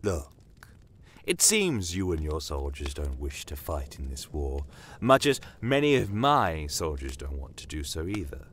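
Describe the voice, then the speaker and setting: Non-human commander for fantasy audio drama, male actor: very slow, measured, courteous menace, shrewd and bitter. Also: very deep voice, very animated, a little hiss or hum, full character voice. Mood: courteous, persuasive. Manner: measured, theatrical, pointed rhetorical questions. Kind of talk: dramatic first-person audio story narration. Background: battle aftermath ambience.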